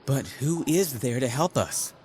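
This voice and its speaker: A man's voice: medium pitch, lively intonation, measured pace, a little mellow, close to an everyday voice. A man playing an anxious, earnest teenage mutant turtle in an audio drama, sounding puzzled and worried.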